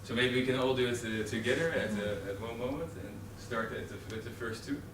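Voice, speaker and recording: A man's voice: medium pitch, brisk. A young man with a Dutch accent giving a live presentation to a training group, hesitant, with many fillers and restarts. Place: training room with an audience. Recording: roomy, distant camera audio with noise.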